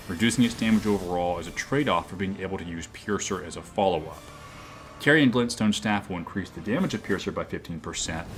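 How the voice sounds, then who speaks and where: A man with a booming voice talking earnestly about his gaming channel, often reflective, with frequent fillers and restarts. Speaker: gaming YouTuber. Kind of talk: game commentary and channel talk.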